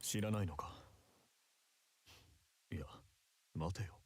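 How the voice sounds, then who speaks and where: A quiet, guarded man for a visual novel; very deep, murmured lines. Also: takes it slowly, slightly rough, no room sound, clean studio sound, heavily acted. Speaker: game character. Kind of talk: Japanese visual novel voice acting.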